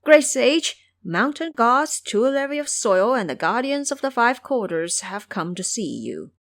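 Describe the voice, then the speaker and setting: A woman performs a timid minor deity from a mythic audio drama. He is humble and flustered, pleading in a raised, very lively pitch. The sound is dry and close. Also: measured pace, no echo, full character voice. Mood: deferential. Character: timid, humble minor male earth god, easily frightened.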